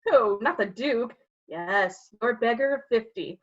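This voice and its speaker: A woman's voice: a little high, animated, unhurried. Dull-sounding cheeky gossiping rogue, played by a woman. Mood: conspiratorial, slanderous. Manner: cheeky, insinuating, theatrical. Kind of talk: staged reading of Shakespeare verse and prose.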